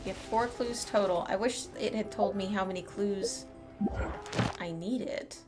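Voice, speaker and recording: A woman's voice: medium pitch, unhurried. Woman game streamer talking over music. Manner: thinks aloud in short remarks. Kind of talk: casual live playthrough commentary, reading game text aloud.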